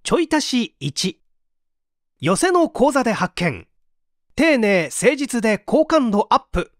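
A narrator reading a book aloud from a script, with clearly phrased, measured delivery. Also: mid-range voice, big pitch swings, no echo, clean studio sound. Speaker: audiobook narrator.

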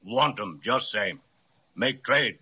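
An actor playing a stolid, demanding wooden statue in an old radio serial, grunting and speaking stereotyped broken English. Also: male voice, mid-range voice, lively intonation, slow, bright, forceful tone, slightly rough, full character voice. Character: stolid, demanding walking wooden cigar-store statue, male. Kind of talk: 1930s radio children's serial acting. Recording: dull 1937 radio recording.

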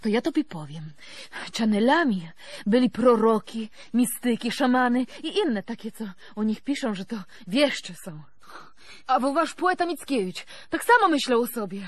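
An actress playing a theatrical, seductive and mystical woman musicologist with a Russian accent, gushing in breathy exclamations in a radio drama. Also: fairly high pitch, lively intonation, moderate pace, dry recording. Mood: mystical enthusiasm. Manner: effusive, breathy exclamations, broken foreign grammar.

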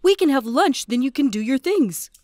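A woman voicing a kindly, anxious housewife in an animated storybook cartoon. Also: high voice, normal speed. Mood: welcoming. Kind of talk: cartoon voice acting.

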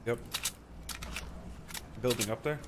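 A man's voice: medium pitch, background noise. A man losing his voice, chatting casually with his squad while gaming and calling out enemies. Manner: self-mocking, quick callouts. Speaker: gamer in a squad. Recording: game audio with gunfire behind.

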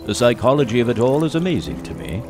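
A man's voice: mid-range voice, big pitch swings, fast talker, music in the background, natural voice. An actor playing a greedy, calculating 1830s English businessman in an audio drama, with formal, pompous diction. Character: scheming, greedy 1830s English elixir-company boss, cold and calculating. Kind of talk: family audio drama acting.

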